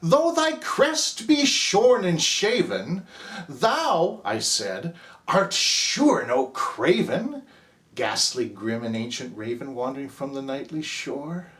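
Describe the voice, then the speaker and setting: Slow, theatrical man reciting gothic poetry. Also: a little high. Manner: expressive storyteller, swinging from hushed near-whispers to loud agitated outbursts.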